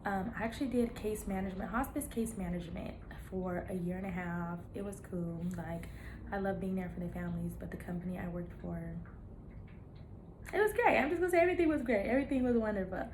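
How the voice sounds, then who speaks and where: A woman's voice: mid-range voice, quick pace. A woman in her thirties talks straight to her viewers in an easy, chatty way, cheering them on with playful asides and laughs between thoughts. Her voice sits in a middle register. A faint hum from the room is behind her. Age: 30s. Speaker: nurse practitioner vlogger. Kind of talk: casual chat to camera.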